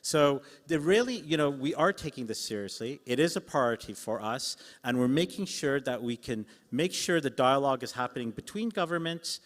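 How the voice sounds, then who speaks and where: A man's voice: medium pitch, animated, normal speed, few pauses. A man speaking live on a conference panel, persuasive and emphatic, in short punchy phrases. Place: large indoor conference hall. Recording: echoey hall sound system.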